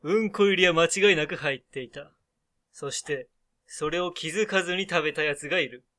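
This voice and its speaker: An actor playing a theatrical game master in a radio drama, with a pompous announcer-like delivery. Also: male voice, fairly high pitch, fairly quick, bright, forceful tone. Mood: grandly declaring. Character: theatrical male game master running a punishment eating contest.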